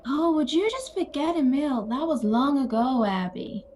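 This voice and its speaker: A woman's voice: sits high, expressive, moderate pace, darkish tone, a little hiss or hum. A woman playing a defensive, flighty, exasperated sister in an audio play, dramatic and full of sighs. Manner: dramatic, sighing, often opens with "oh".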